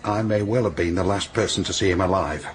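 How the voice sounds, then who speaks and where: A man acting an academic board member in a radio play. His voice is on the low side, and he speaks formally and precisely, with stiff insistence. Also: fairly quick, soft background music, natural voice. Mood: insistent, grave.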